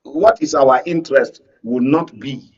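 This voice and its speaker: A man's voice: medium pitch, a little slow, husky, far from the mic, dull audio. A man with a Nigerian accent on a political panel, challenging and interjecting with pointed, probing remarks. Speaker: panel guest, media analyst.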